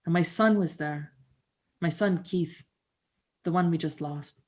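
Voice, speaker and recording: Woman voicing a gentle elder woman reminiscing. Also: on the low side, a little slow, natural voice.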